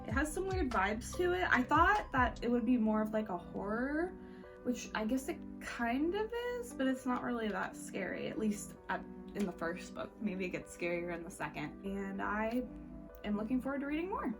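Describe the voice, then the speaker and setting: A woman's voice: fairly high pitch, big pitch swings, normal speed. A woman giving a casual, chatty review as a vlog host, speaking informally with "like" sprinkled through her sentences. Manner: informal, peppered with "like". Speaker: book reviewer hosting a reading wrap-up vlog. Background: background music.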